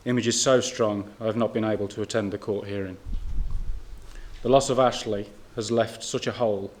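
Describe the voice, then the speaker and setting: A male liaison officer reads a prepared statement aloud at a briefing. His delivery is formal and measured, with a fairly low pitch and very little rise or fall. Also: fairly even intonation, darkish tone. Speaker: police family liaison officer. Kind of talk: reading a statement on behalf of a family. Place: room at a press briefing.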